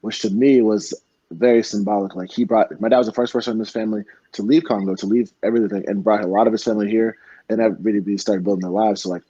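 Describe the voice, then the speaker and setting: A man's voice: lowish voice, steady tone, fast, warm tone, breathy edge, no room sound, highs cut off. A young man from Florida telling earnest, heartfelt stories in a casual interview, full of fillers and restarts. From Florida, United States. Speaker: MMA fighter guest.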